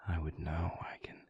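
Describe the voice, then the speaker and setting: A moody vampire boyfriend acted by a man murmurs in a very low, half-whispered voice. He is suave and guarded, choosing formal words and drawing them out very slowly, as in an intimate audio roleplay. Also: dull audio, exaggerated voice. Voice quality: breathy, creaky near-whisper. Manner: languid, formal, measured phrasing.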